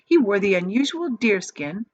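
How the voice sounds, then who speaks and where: Animated woman reading a children's story. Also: medium pitch, normal speed, no echo. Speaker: storybook reader. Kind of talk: expressive read-aloud storytelling for children. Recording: slightly dull, dry recording.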